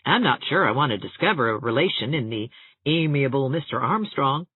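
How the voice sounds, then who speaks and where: A woman's voice: a bass voice, expressive, brisk, slightly rough, dry recording, narrow, tinny audio, heavily acted. A woman voicing a cheerful, teasing, self-mocking young man in a storybook reading. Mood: friendly, easygoing.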